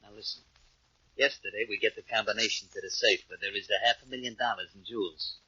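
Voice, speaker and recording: An actor playing a coldly polite, menacing gang boss with formal, measured speech in a 1940s radio crime drama. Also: male voice, lowish voice, fairly even intonation, pushed and bright, slightly rough, heavily acted. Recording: dull old radio recording.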